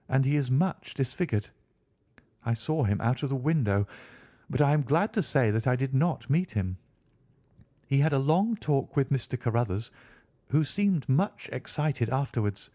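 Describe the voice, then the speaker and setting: A man puts on a young Victorian lady for an audiobook, lifted well up in pitch. She is genteel and prim, earnest in her worry and indignant at those who trouble her. The sound is close and dry. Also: dark tone, no room sound. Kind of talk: audiobook character reading.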